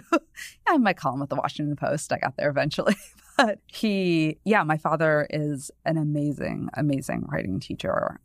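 A woman's voice: deep voice, no echo, clean studio sound. A woman in her thirties from New England, a guest on a podcast, talking in a chatty, discursive way full of "you know" asides and easy laughs. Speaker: guest, newspaper columnist. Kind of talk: casual podcast conversation.

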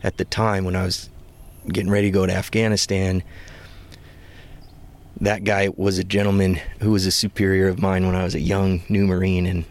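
Guest, man in his 30s from the Pacific Northwest, deep monotone, laid-back storyteller. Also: normal speed. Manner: easygoing, soft-spoken, drawling storyteller. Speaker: guest, former Marine. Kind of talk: casual interview chat. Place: front seat of a parked van, door open, rain.

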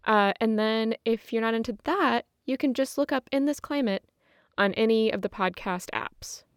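A woman's voice: on the high side, moderate pace, clean tone, no echo, studio quality. A woman co-hosting a podcast in a casual, conversational style. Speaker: podcast host.

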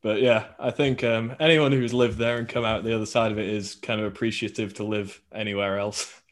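A man with a fairly low voice. He co-hosts a podcast and talks in a loose, slangy, laddish style, as if among mates. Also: fairly even intonation, a little fast. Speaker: podcast co-host. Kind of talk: casual chat.

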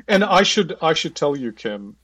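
A man's voice: a little high, big pitch swings, moderate pace, fairly bright tone, no echo, recorded from a distance. A man hosting a podcast interview, commenting conversationally on what his guest has said. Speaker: interview host.

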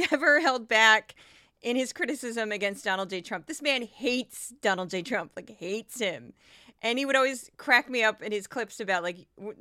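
A woman's voice: a little high, bright, forceful tone, smooth, no echo, clean studio sound. A woman hosting a podcast, giving sarcastic, casual commentary between news clips. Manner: sarcastic, wry. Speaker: podcast host.

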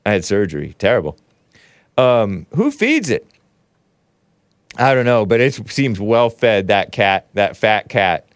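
A man hosts a casual livestream, reading chat messages and riffing on them with a joking, chuckling manner. His pitch swoops around a lot while he talks at an unhurried pace. The sound is dry and close. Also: sing-song, no echo. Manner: jokey, reads chat messages aloud in mock voices, chuckles often.

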